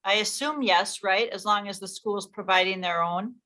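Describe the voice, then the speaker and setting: A woman's voice: mid-range voice, moderate pace, pushed and bright. A woman moderating a casual meeting, passing along questions and checking answers.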